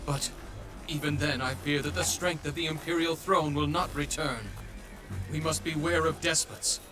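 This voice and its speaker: Man playing a high-pitched, pompous noble commander. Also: level tone, moderate pace, natural voice. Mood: grave, declaiming. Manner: pompous, theatrical. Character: pompous, easily offended noble commander, male. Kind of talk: English video game dub acting. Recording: music behind the voice.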